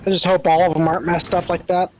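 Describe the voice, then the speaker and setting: Higher-voiced man with an even delivery. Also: fast, music in the background, rough recording. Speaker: player in a tabletop game. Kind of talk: casual chat.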